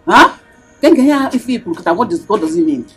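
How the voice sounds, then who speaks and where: A woman's voice: medium pitch, sing-song, fairly quick, husky, soft background music. A Nigerian woman acting an indignant, reproachful mother in a screen drama.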